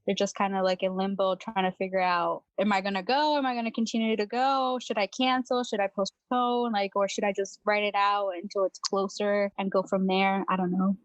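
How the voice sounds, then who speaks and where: A woman's voice: medium pitch, fairly even intonation, brisk, hardly any pauses, no echo, dull audio. Young woman from Venezuela, casual and chatty podcast guest, dry close recording. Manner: chatty, laughs often, many fillers. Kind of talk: casual chat.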